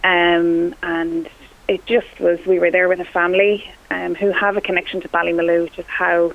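A woman's voice: lowish voice, level tone, moderate pace, creaky. A woman from Kerry with an Irish accent, whose first language is Irish, answering interview questions modestly and plainly. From Ireland. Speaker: phone-in guest. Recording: tinny phone line.

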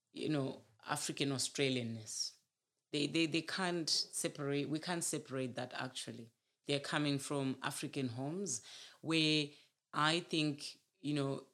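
Earnest woman who teaches Shona. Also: extremely low pitch. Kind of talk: interview answer.

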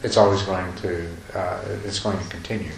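A man with a lowish voice gives a dharma talk at a retreat, talking in loose, rambling sentences full of restarts and soft chuckles. His voice carries in an echoey room over a faint hiss. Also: little pitch movement, moderate pace. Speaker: meditation teacher. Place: retreat meditation hall.

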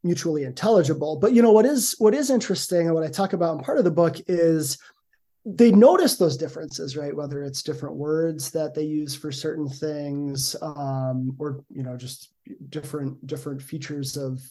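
Middle-aged male academic guest, mellow tone, hedging and thoughtful. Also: a little high, normal speed. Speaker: guest, linguistics professor. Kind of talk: conversational interview answers.

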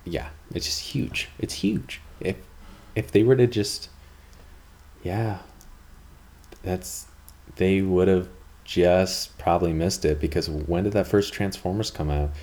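A man's voice: sits low, takes it slowly, pauses often, a little mellow. A man in his thirties from Canada, hosting a podcast in a casual, rambling monologue full of fillers and asides. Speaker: podcast host.

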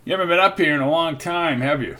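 A man's voice: fairly high pitch, fast, pushed and bright, pitched above the performer's own voice. A man reading the part of an easygoing, gray-haired drugstore owner in a small town, talking in a folksy way.

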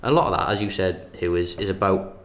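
A man's voice: deep voice, a little fast. A man in casual academic discussion, talking with many restarts and emphatic bursts.

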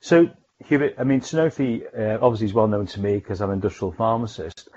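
A man's voice: fairly low pitch, lively intonation, quick pace, warm tone, highs cut off. A man hosting a professional interview podcast, polite and hesitant, often repeating words as he frames questions. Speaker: podcast host and chief scientist.

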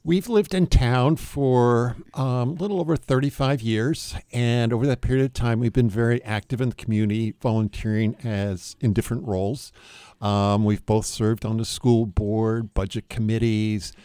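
An older man answering as a radio interview guest, unscripted, in a measured, matter-of-fact way. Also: mid-range voice, fairly even intonation, warm tone, smooth, no echo.

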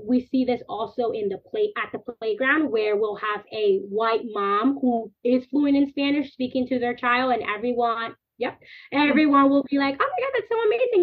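A woman in her thirties, born in the Dominican Republic, speaking earnestly as a podcast guest in long, flowing stretches of thought. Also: high voice. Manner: earnest, animated, talks in long chains of clauses. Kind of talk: podcast interview. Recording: tinny phone-like line.

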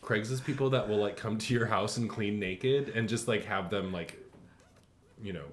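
A man co-hosting a casual gaming podcast, animated and joking, often laughing. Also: fairly low pitch, moderate pace, slight background noise. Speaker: podcast co-host. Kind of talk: casual chat.

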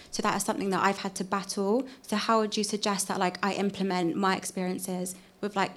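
Flat, halting woman asking from the audience. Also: medium pitch. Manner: halting, frequent "like". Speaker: audience member asking a question. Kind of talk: casual spoken question. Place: live panel event.

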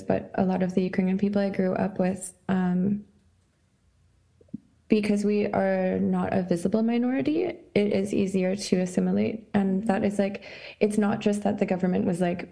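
A young woman from Canada, an artist guest in a casual interview, talking thoughtfully with many pauses and fillers. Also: mid-range voice, creaky, warm tone. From Canada (Saskatchewan). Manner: thoughtful, halting, lots of likes and ums.

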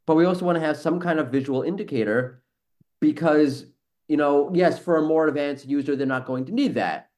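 A man's voice: fairly high pitch, fairly quick, darkish tone. A man hosting a casual podcast, talking in a blunt, sarcastic and mildly profane way. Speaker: podcast host, SEO professional and former teacher.

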